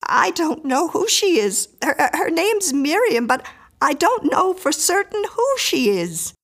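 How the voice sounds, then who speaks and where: A woman voicing a frightened, tearful older married woman in an audiobook, halting and stammering as she speaks. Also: high-pitched, very animated, fairly bright tone, voice pushed up, exaggerated voice. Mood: anxious. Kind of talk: audiobook character acting.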